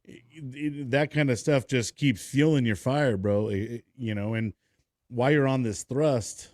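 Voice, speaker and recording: A laid-back man in his forties hosts a casual podcast, loose and slangy with casual swearing. His voice is at an ordinary pitch and sounds dry and close. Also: a little slow, darkish tone, dry recording. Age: 40s. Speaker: podcast host.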